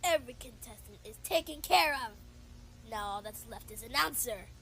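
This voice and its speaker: A woman's voice: a little high, expressive, moderate pace. A woman voicing a vengeful, menacing killer flower in a cartoon horror story, on a very noisy recording. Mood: coldly triumphant. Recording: very noisy, faint audio.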